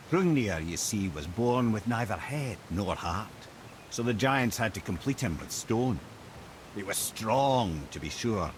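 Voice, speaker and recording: Animated old Scottish storyteller. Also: male voice, on the low side, a little hiss or hum. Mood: animated, wry. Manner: theatrical, wry storytelling. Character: wry old male storyteller, learned and animated. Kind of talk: dramatic storytelling in a video game.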